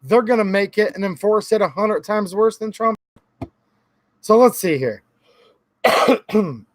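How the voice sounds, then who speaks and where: A hoarse man hosting his own gun channel, talking earnestly and casually straight to his viewers, often calling them guys. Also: sits high, dry recording. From Michigan. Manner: earnest, talks directly to viewers as guys, repeats himself. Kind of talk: casual talk to camera.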